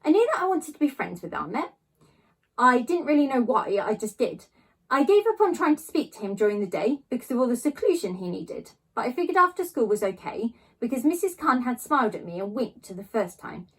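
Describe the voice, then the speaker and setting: A woman reading a children's novel aloud, narrating in a child's first person with expressive storytelling emphasis. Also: a little high, a little fast, husky, rough recording. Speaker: storybook reader.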